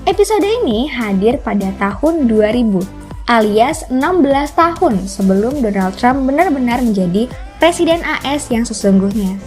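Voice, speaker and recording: A woman's voice: fairly high pitch, sing-song, normal speed, darkish tone. A woman reading a scripted explainer narration as the narrator of a video channel. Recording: music bed under the voice. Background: background music.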